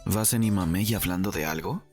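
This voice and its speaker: A man's voice: low voice, fairly quick, a little mellow, faint music behind. A man playing a suspicious, embarrassed young nobleman in a dramatized audiobook, questioning and protesting. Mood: puzzled, suspicious.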